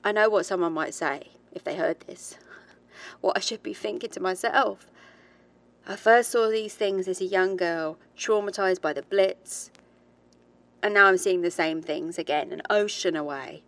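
A woman's voice: fairly low pitch, unhurried, a bit bright. A woman playing a haunted, determined researcher who gives a reflective, hesitant confession in a horror audio drama diary. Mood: uneasy, reflective. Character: haunted, determined woman researcher, British-born, recalling childhood ghosts.

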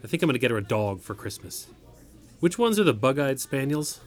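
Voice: mid-range voice, very animated, a little fast, natural voice. An actor as a quirky, rambling neighbor. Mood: chatty, earnest. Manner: rambling, naive, easily startled. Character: earnest, quirky, chatty neighbor accompanying an injured older woman. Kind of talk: audio comedy-drama acting.

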